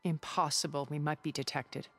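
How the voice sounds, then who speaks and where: An actress playing a cool, skeptical woman strategist in a video game, speaking formally with dry, measured condescension. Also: low-pitched, steady tone, long reverb tail. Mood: clinical, firm. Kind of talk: video game cutscene acting.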